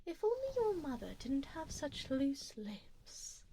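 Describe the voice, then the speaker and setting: A woman voicing a haughty, doting vampire duchess in an ASMR roleplay, speaking formally and softly, close to the microphone, with drops into whispers. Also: sits high, very animated, slow pace, a little hiss or hum, full character voice. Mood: haughty, cool. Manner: formal, aristocratic phrasing, soft and breathy with drops into whispers. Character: haughty, doting female vampire duchess, refined and coolly menacing. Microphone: close binaural ASMR microphone.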